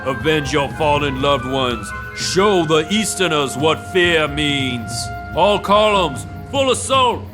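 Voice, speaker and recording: Slow, commanding, vengeful warlord. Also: male voice, a little high, expressive. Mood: grim, rousing. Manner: solemn, commanding, rousing. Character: vengeful male warlord rallying his army to attack. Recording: studio drama with prominent music bed.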